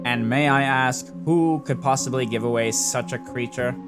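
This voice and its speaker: A man voicing a suave, genteel, coolly menacing gentleman hunter in a dramatic comic dub, with wordy courtly phrasing. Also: medium pitch, steady tone, moderate pace, natural voice. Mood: coldly polite. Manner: genteel, wordy, calls people dear and darling. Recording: voice over clear background music.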